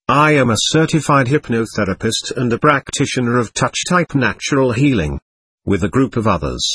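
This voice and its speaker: Guy reading as a gentle old lady healer, calm and earnest, kinda husky, dry close sound. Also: mid-range voice, no room sound. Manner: calm, earnest first-person telling. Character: gentle 65-year-old woman healer, Trinidad-born grandmother.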